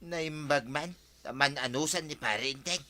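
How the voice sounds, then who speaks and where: A man's voice: a little high, measured pace, bright, forceful tone. A man with an Ilocano accent playing an opinionated village neighbour in a radio comedy drama. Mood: gossiping. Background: music.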